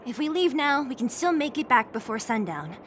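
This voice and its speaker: A woman's voice: high-pitched, big pitch swings, a little fast, fairly bright tone, a little hiss or hum. An actress voicing a brash, headstrong young woman fighter in a video game, talking with cocky impatience. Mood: determined. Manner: cocky, dismissive of rules.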